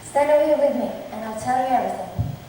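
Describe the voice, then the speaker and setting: A woman's voice: on the high side, fast talker, warm tone, natural voice. A woman playing a cheeky, lazy rogue in an amateur student stage production of simplified Shakespeare. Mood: conspiratorial. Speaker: student actor in drama club play. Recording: echoey hall from far off, faint noise.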